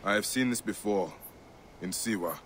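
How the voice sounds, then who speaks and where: A man's voice: fairly low pitch, normal speed, a bit bright, slight background noise, close to an everyday voice. A man playing a grieving, vengeful Egyptian warrior in a video game cutscene, intense and impassioned. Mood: grim. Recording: music behind the voice.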